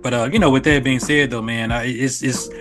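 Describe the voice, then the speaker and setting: A man from Arkansas with an American accent speaks at a medium pitch. He is a podcast guest, talking in a casual, reflective, conversational way. Music plays faintly behind his voice. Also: steady tone, a little fast, fairly bright tone. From Little Rock, Arkansas, US.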